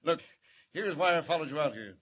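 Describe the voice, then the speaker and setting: Through a dull, slightly noisy vintage recording comes a man acting a flustered city commissioner. He is fretful and excitable, fairly high in pitch, in 1940s radio drama manner. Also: a little fast, close to an everyday voice. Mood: uneasy.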